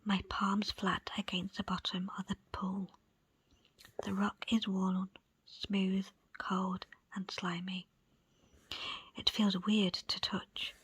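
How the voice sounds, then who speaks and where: A woman reading a book in a breathy ASMR whisper, with soft, unhurried, deliberate phrasing. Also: mid-range voice, level tone, no echo. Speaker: book presenter and reader.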